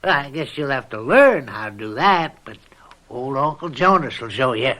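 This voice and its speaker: An actor playing a jovial but bossy and miserly old farmer uncle of 69 in a radio mystery drama, folksy and rambling. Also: male voice, mid-range voice, big pitch swings, normal speed, pushed and bright. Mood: jovial, coaxing. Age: older. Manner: folksy, rambling, chuckling hard bargainer.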